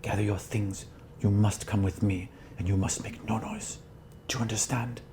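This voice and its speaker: A man voicing a grave, urgent mother in a tabletop game, speaking in a hushed, stern, commanding way. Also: on the low side, fairly even intonation, moderate pace, background noise, lightly acted. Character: grave, urgent mother sending her child away in secret.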